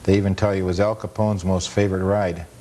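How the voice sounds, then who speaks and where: A man with a low voice gives casual commentary as a guest historian. He explains things in a matter-of-fact, detailed, anecdotal way. The 1980s cable recording has clear noise and rumble. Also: level tone, fast, dark tone. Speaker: guest film collector and amusement park historian. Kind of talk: casual commentary over old film footage.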